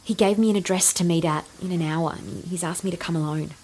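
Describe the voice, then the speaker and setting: An actress as a guarded, secretive woman in an audio drama. Her voice is lowish and husky, and her delivery is terse. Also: fast talker, a little mellow, close to an everyday voice.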